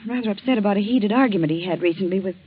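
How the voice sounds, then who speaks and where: An actress playing a concerned young daughter, earnest and dramatic in a 1940s radio style. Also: female voice, mid-range voice, racing, close to an everyday voice. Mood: polite. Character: concerned young grown daughter of a troubled man. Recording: muffled old radio broadcast.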